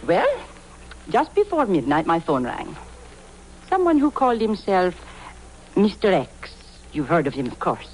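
A woman's voice: fairly low pitch, huge pitch swings, darkish tone. Sardonic foreign lady reporter; very wide swoops, teasing, old radio sound with faint noise. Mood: wry, composed. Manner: arch, teasing, unhurried. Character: cool, sardonic young female foreign news gatherer. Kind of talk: 1950s radio drama acting. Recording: noisy old radio recording.

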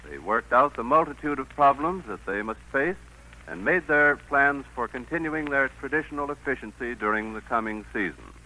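A man's voice: medium pitch, lively intonation, normal speed, sharp tone, low-quality recording. An American man reading a scripted sponsor message in formal 1940s radio style. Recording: 1940s radio broadcast recording.

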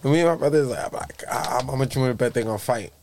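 A man in his thirties from the Bronx with a raspy voice, telling a story as a podcast guest in loose street slang. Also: mid-range voice, very fast, darkish tone, slight background noise. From the Bronx, New York. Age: 30s. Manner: loose street slang, piles up vivid details. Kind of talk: casual storytelling chat.

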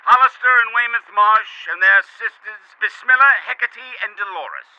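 Male, stern, formal old navy admiral for period radio drama; forceful, animated, dull line-filtered sound. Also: high voice, moderate pace, clear, resonant tone, no room sound. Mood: formal, guarded. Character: formal, guarded navy rear admiral, older man. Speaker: interviewed naval commander. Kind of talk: 1930s-style radio news drama acting. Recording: dull, band-limited radio phone line.

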